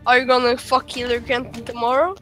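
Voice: mid-range voice, level tone, fast talker, music in the background. A young player teasing a friend in game voice chat, often laughing. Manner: teasing, giggly. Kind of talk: gaming voice chat.

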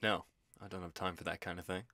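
Bright-voiced, guarded, curt man. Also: sits low, flat delivery, fast talker, no echo, studio quality. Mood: defensive, evasive. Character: guarded, reluctant, curt man, Wall Street worker. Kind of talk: naturalistic audio drama dialogue.

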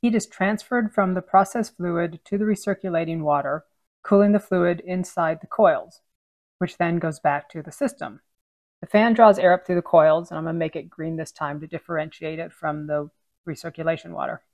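A woman's voice: lowish voice, normal speed, dry recording. A woman in her thirties presenting a scripted technical lesson, explaining equipment step by step as she draws. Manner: clear, steady instructional delivery. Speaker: HVAC training presenter.

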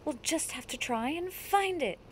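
An excitable old grandmother possum from a children's storybook , acted out by a woman reader. She is kindly and emphatic, exclaiming in a high voice that swoops up and down. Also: moderate pace, slight background noise. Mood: urgent, excited.

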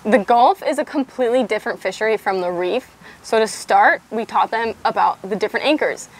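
A young woman in her twenties explaining fishing techniques in a relaxed, upbeat way, talking outdoors on an open boat. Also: fairly high pitch, brisk. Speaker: fishing guide and host. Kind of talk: instructional fishing vlog.

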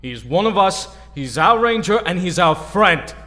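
Male actor, young team leader, bright sharp tone, loyal, audio drama, reverberant hall. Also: sits high, sing-song, measured pace, heavily acted. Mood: tense, firm. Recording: long reverb, music behind.

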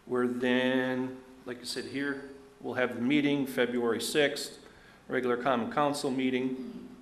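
A man's voice: mid-range voice, flat delivery, a little slow, roomy sound, low-quality recording. A man giving a plain, step-by-step walkthrough of an online agenda at a public council meeting, with casual asides as he goes.

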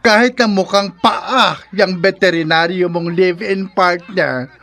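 A campy male friend with a Filipino accent, full of gossip and sassy advice. His voice sits very high and he takes his words slowly, in radio-drama style. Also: fairly bright tone, exaggerated voice. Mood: gossipy, teasing. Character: gossipy, campy older-brother confidant giving sassy advice.

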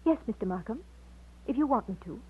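An actress in an old radio romance playing a sweet, eager young woman with a polite, gentle manner. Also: fairly high pitch, expressive, a little fast, breathy edge. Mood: tender, eager. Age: young adult. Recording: thin lo-fi old radio recording.

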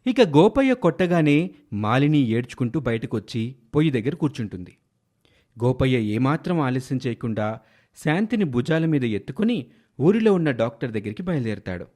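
A man's voice: medium pitch, sing-song, moderate pace, a little mellow. A man narrating a scripted story as a storyteller.

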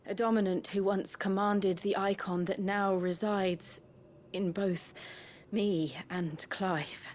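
A gentle young warrior woman of a fantasy video game , played by an actress. She speaks slowly and gravely in a hushed, measured way. Noticeable ambient noise sits behind her voice. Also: mid-range voice, steady tone, lightly acted. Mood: grave, wondering.

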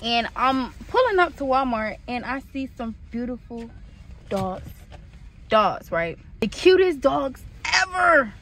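A woman in her early twenties talks into a phone held at arm's length, chatty and slangy. Her voice sits a bit high, and she jumps into squeals when excited. A faint hiss runs underneath. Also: slow, low-quality recording. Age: early 20s. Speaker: vlogger. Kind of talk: casual vlog chat to camera. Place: apartment rooms, then parked car and outdoor parking lot.

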